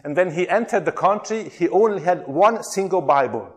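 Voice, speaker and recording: A man's voice: sits high, animated, moderate pace. Middle-aged Hungarian man, slightly raised voice, running an interactive workshop with lots of ums. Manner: chatty, frequent hesitations, asks the audience questions. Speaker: workshop presenter, theology lecturer.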